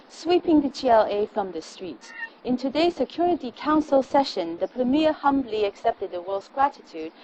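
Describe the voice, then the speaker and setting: A woman's voice: on the high side, a little mellow, muffled recording, slight background noise, natural voice. An actress playing a proud, polished female Chinese state TV reporter, delivering a formal, declamatory propaganda news report in a video game. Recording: music behind the voice.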